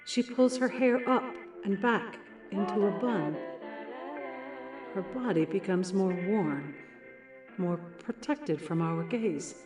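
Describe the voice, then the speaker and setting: A woman narrator played by an actress unfolds stage directions for an audio drama. She is very slow and sensuous, pausing after short phrases. The sound is echoey, with prominent music underneath. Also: medium pitch, natural voice. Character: sensuous, observant female voice-over narrator of a stage play. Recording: echoey, with loud music behind.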